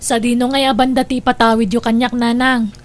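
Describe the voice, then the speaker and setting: A woman voicing a grasping married daughter in an Ilocano audio drama, who turns shrill when upset. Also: flat delivery, fairly quick, natural voice. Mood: demanding. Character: scheming married daughter wanting the house. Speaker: voice actor.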